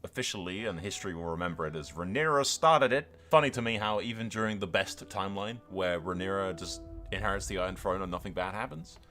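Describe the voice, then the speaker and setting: A man's voice: deep voice, fast, hardly any pauses, sharp tone, faint music behind. A man giving casual, sweary gameplay commentary as a gaming YouTuber, chatting to his viewers in a blokey way.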